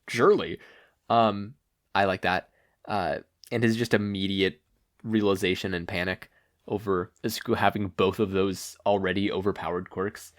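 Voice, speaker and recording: A man reading stories aloud as an expressive podfic narrator. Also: on the low side. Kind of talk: expressive fan fiction narration.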